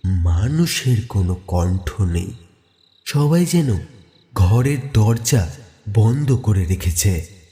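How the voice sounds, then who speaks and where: Guy telling a ghost story, really slow w/ dramatic pauses, dark mellow low-ish voice. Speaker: horror story narrator. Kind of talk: scripted suspense narration.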